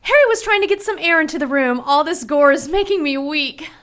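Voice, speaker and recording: Loyal noir dame: very high, swooping voice, theatrical, quick to indignation. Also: female voice, lively intonation, fairly bright tone. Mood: coy. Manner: dramatic. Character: indignant, loyal 1940s damsel client, female. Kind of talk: noir radio drama acting.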